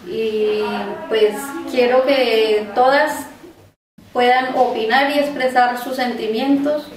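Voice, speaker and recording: Slow earnest woman from Colombia. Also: a little high. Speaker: workshop participant. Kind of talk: short spoken testimony. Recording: echoey room, mic far off.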